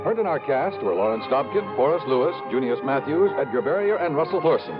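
A man's voice: medium pitch, sing-song, brisk, music in the background. A man announcing on 1950s network radio in a scripted style. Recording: muffled old radio broadcast recording.

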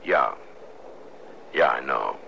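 An actor playing a terse, commanding American U.S. Marshal in an old-time radio western. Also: male voice, a bass voice, expressive, slow, creaky, pushed and bright, heavily acted. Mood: subdued, reticent. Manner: terse, plainspoken. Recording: muffled, noisy vintage radio recording.

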